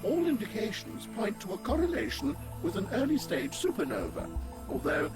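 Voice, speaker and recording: A nervous sci-fi game scientist with an extremely high voice. The pitch wobbles up and down dramatically as he frets and hesitates, over clearly audible music. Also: huge pitch swings, normal speed, heavily acted. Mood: hesitant. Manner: flustered, hesitant, fussy.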